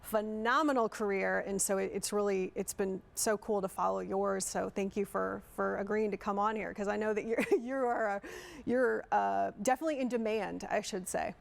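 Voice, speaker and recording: A woman's voice: mid-range voice, fairly even intonation, normal speed, gravelly. A woman hosting an interview show, reading an introduction and then chatting in a friendly, talkative way. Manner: friendly, chatty, laughs easily. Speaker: host. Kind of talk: scripted intro, then casual interview chat.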